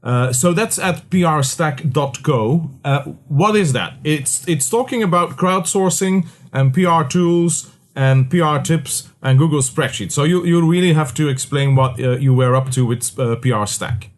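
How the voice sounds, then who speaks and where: A man hosting a podcast interview, relaxed and full of filler words. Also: a little high, measured pace, hardly any pauses. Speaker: podcast host. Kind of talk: casual interview.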